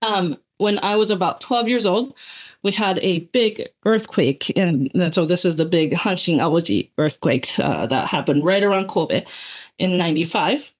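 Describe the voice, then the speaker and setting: A woman in her forties from Japan gives a webinar lecture, her pitch rising and falling with a lot of life. She talks in a chatty, loose way, full of fillers and restarts. The audio is dull and lo-fi, coming through a computer mic on a call. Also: measured pace. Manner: animated, chatty, frequent fillers and self-corrections. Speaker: guest speaker, associate professor. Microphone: computer mic on video call.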